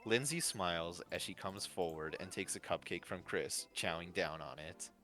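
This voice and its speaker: A man's voice: sits low, normal speed, bright, forceful tone. A man narrating a fan-fiction story as its host. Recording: faint music behind. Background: faint music.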